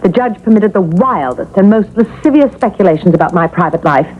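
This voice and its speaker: Vain ghostly beauty on tinny old radio, played by an actress. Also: female voice, medium pitch, animated, a little fast, natural voice. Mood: indignant pride. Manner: haughty, theatrical, teasing. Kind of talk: radio drama acting. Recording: noisy, narrow-band vintage radio broadcast.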